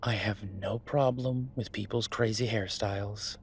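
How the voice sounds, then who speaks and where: A man's voice: on the low side, steady tone, measured pace, vocal fry, a little hiss or hum. A man acting as an easygoing chef talking to a partner in a naturalistic audio roleplay, conversational, hesitant and wry. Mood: wry, amused. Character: Beau, easygoing male chef, reassuring his werewolf partner. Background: ambient sound effects.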